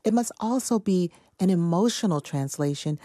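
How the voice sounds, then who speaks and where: Woman, novelist guest, measured, earnest, mellow tone. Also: lowish voice, lively intonation, no room sound, studio quality. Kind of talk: conversational interview answer.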